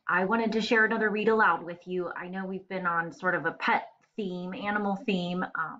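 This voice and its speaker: Young female primary teacher, bright and forceful tone, casual read-aloud for little kids, slightly dull webcam sound. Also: medium pitch. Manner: addresses children directly, casual. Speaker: elementary school teacher. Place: indoor room, webcam screencast.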